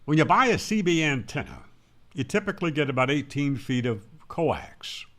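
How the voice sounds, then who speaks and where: A man's voice: on the high side, very animated, moderate pace, a bit bright. A man giving an explanatory tutorial as a radio instructor, in an emphatic, didactic manner, posing questions and then answering them. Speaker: ham radio instructor and presenter.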